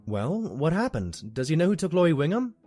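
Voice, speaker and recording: A man's voice: on the high side, big pitch swings, fairly quick, warm tone, soft background music. A man playing an earnest, polite pony doctor and detective's assistant in a cartoon audio drama, articulate and courteous. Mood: puzzled.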